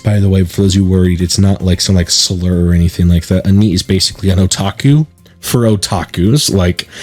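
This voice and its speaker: A man's voice: low-pitched, a little mellow. A man hosting a let's play, chatting and explaining casually and reading game text aloud.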